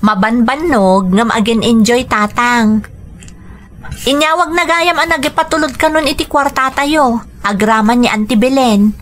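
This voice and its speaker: An actress with a northern Philippine accent playing a cheerful, dutiful young daughter in a radio drama. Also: female voice, a little high, a little slow, a bit bright. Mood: caring, earnest. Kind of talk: Ilocano radio drama acting.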